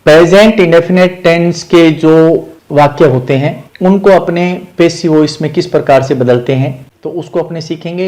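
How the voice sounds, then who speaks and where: A man's voice: a little high, a little mellow. A man with an Indian accent explaining grammar as a teacher, methodically repeating key points and mixing in English terms.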